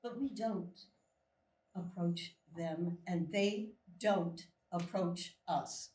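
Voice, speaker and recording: An actress playing a blunt, probing female military colonel at a live screenplay reading, measured and pointed. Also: fairly low pitch, a little mellow. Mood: grave, probing. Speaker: actor in a live table read. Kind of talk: dramatic script reading. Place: small live theatre stage. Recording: echoey theatre, far from the mic.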